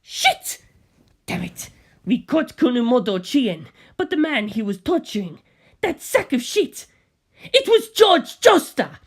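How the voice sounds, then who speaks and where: Hot-headed gangster man performed by a woman, raised pitch, swooping intonation, loud, emphatic, audiobook character. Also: sing-song, slow pace, slightly rough, heavily acted. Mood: furious, distraught. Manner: loud, emphatic, swearing outbursts. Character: excitable, foul-mouthed gangster man reporting by phone.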